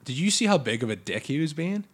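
A man co-hosting a casual podcast, sniffly from allergies, excitable and swearing freely. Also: fairly high pitch, fast, slightly rough. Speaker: podcast co-host. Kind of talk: casual wrestling chat. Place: Chicago area.

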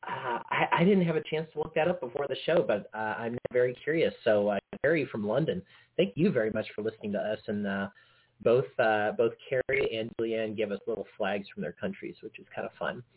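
A man's voice: medium pitch, a little fast, breathy edge. A man co-hosting a podcast, chatting casually and easygoing, with frequent fillers and chuckles. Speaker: podcast co-host. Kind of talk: casual chat. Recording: tinny phone-like audio.

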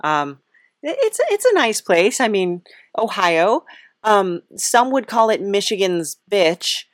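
A woman hosting an improvised comic interview, speaking in a hesitant, halting and bemused way. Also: medium pitch, sing-song, dry recording, studio quality. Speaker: podcast host interviewing a character.

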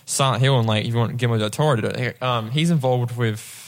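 A man's voice: medium pitch, quick pace. A man chatting casually on a podcast, chipping in with jokey asides.

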